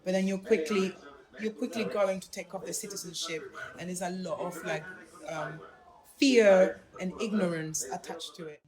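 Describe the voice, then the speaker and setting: A woman's voice: on the low side, moderate pace. A woman, chatting casually and thoughtfully in a busy museum, often restarting as she searches for words. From Argentina. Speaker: museum visitor interviewed. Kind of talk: casual conversation. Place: busy museum exhibit hall. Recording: echoey public hall with crowd.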